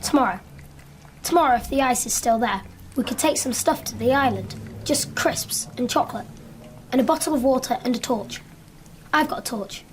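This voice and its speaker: An actress playing a blunt, defiant but loyal young child in a naturalistic radio drama. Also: female voice, high voice, moderate pace, a little hiss or hum, lightly acted. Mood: matter-of-fact.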